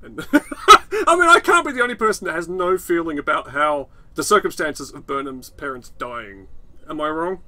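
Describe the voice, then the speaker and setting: Bright-voiced sardonic Australian man. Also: on the high side, lively intonation, slight background noise. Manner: sardonic, teasing, quick to laugh. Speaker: podcast co-host. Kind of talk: casual chat.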